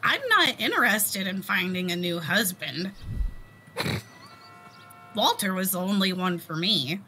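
Prim old widow lady, a woman doing the voice, proper and a bit offended, bright sharp tone. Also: medium pitch, expressive, a little slow, slight background noise, poor audio. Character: prim elderly widow, sixty-two.

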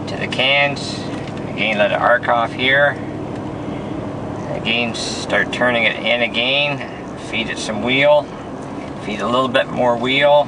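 Man giving terse driving directions in-car. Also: mid-range voice. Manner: terse, clipped driving instructions. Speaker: race car driver. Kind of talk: lap walkthrough commentary. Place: inside a car on a racetrack. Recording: distant mic with road noise.

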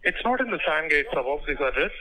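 A man takes orders over the phone, his voice very sharp and rather high. He speaks quickly, in short, polite, businesslike phrases, heard through a phone played into a microphone. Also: very animated, slight background noise. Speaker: pizza shop phone worker. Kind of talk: brief customer service questions. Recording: phone call played through studio mic.

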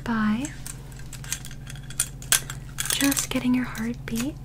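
A woman in her twenties performing a gentle, methodical ASMR medical roleplay as an alien examiner, reassuring and close to the microphone. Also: fairly high pitch, steady tone, very slow, background noise. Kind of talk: soft whispered ASMR roleplay.

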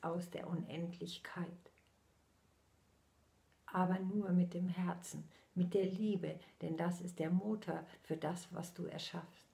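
A woman in her sixties speaks as a medium giving a channeled message, her low, gravelly voice moving at a very unhurried pace. She keeps her eyes closed and lets many phrases fall into a soft whisper. It sounds close and intimate, as if spoken into a laptop in a small room at home. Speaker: spiritual medium.

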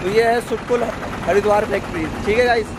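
A man's voice: sits high, fairly even intonation, brisk. A young man chatting casually to viewers in a repetitive vlog style, recorded outdoors at arm's length. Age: young adult. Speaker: vlog host. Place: outdoors along industrial roadsides. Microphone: camera held at arm's length. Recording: noisy street with vehicle traffic.

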